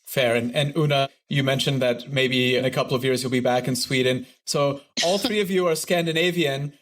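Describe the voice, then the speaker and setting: A man's voice: medium pitch, moderate pace, a bit bright. A man hosting a podcast, interviewing guests in a conversational way. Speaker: podcast host.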